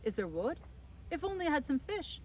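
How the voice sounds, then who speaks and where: A woman voicing an excitable, fretful Italian mother in a storybook, exclaiming and repeating herself as she fusses. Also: high-pitched, brisk, slight background noise, pitched above the performer's own voice. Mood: flustered. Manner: exclamatory, repetitive, fussing.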